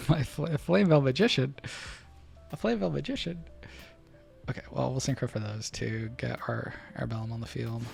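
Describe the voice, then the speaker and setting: A man streaming a card game, thinking through his plays out loud to his chat and breaking into loud excited outbursts. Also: mid-range voice, normal speed, vocal fry, long pauses, husky, faint music behind. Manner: muses over choices, bursts into loud excited laughs. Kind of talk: thinking aloud while playing, talking to chat.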